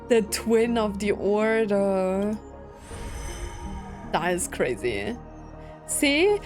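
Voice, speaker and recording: A woman streamer talks through a game in an informal, thinking-aloud way. Her pitch sits in the middle, and game music plays clearly behind her casual commentary. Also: sing-song, drawn-out words. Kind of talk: casual live reaction commentary over gameplay. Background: game music and dialogue.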